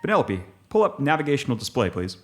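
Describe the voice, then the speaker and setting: A man's voice: medium pitch, very animated, fast talker, over music, lightly acted. A man playing a deferential, hesitant lone spaceship captain in a sci-fi audio comedy. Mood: uneasy. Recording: clearly noisy spacecraft ambience.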